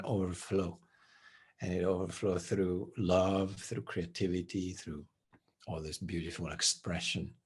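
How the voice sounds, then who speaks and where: A man speaking as a meditation teacher, reflective and soft-spoken, letting his phrases trail off quietly. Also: low-pitched, breathy edge. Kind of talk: reflective spiritual talk.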